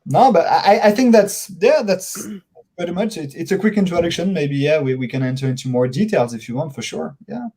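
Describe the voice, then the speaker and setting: A man from France with a French accent, speaking as an interview guest in a halting, conversational way, repeating words as he searches for them. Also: a little high, expressive, mellow.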